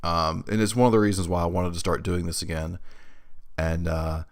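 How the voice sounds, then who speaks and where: A man's voice: deep voice, level tone, moderate pace. A young man hosting a podcast alone, getting over a head cold and rambling through an update with lots of fillers. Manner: rambling, with many fillers and restarts. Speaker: podcast host. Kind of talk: casual solo podcast update. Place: his room in a new apartment. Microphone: new home studio mic.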